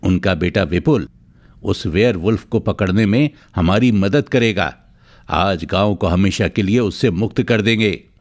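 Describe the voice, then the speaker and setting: Cartoon village chief, male actor: a concerned father with a low, declamatory, authoritative delivery. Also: unhurried. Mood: resolute. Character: worried village headman, middle-aged father, commanding. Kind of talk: melodramatic cartoon story acting.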